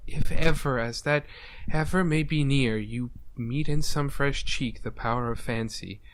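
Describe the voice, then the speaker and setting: A man plays a lovesick young shepherd in a Shakespearean radio play. He pleads with melodrama at a middling pitch, over faint background noise. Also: measured pace, natural voice. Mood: pleading.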